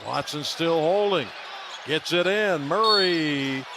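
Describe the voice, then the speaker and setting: A male play-by-play announcer with a fairly high voice works a live basketball radio broadcast from an arena. Loud background noise surrounds him as he emphatically calls the score and the action. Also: slow pace. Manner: emphatic, calling out scores and plays. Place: large indoor basketball arena. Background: loud arena noise, bouncing basketball.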